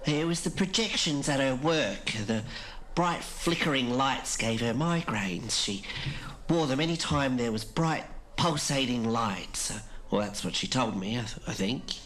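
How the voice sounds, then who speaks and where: A woman playing a frank, irreverent woman, reminiscing haltingly in a podcast interview. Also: extremely low pitch, lively intonation, fairly bright tone, heavily acted. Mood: frank, chatty. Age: 90s. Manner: blunt, hesitant recollection with frequent pauses. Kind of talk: interview-style podcast drama. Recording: clearly noisy interview recording.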